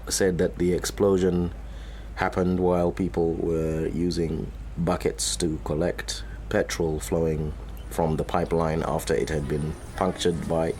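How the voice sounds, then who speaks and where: A man playing an anguished middle-aged father searching for his son, earnest and halting when upset, in a naturalistic radio drama. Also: sits low, a little mellow. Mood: shaken. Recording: noisy ambient radio drama soundscape.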